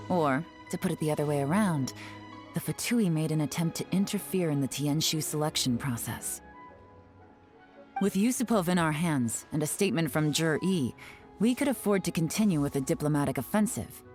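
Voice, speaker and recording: A cool, calculating spy. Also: female voice, sits low, darkish tone. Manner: composed, wry, self-assured. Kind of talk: game cutscene dialogue.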